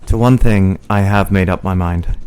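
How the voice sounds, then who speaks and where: A man in a radio play acting a devoted, sombre young Victorian husband, with a smooth voice and earnest, literary diction. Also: low voice, moderate pace, a little mellow, natural voice. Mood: sombre, resolved.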